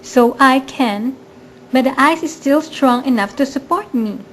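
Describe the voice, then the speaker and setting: A woman playing a scheming, greedy murderess in an amateur radio drama, falsely sweet and coaxing, with smooth reassurance. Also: high-pitched, measured pace. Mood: falsely reassuring. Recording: faint background noise, amateur recording.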